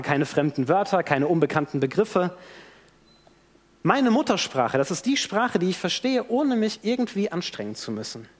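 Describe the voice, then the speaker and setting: A man with a fairly high voice gives a sermon in a church. His pitch rises and falls a lot as he tells the story and acts out the quotes. A long reverb hangs behind him in the hall. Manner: animated storytelling with acted-out quotes. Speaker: preacher.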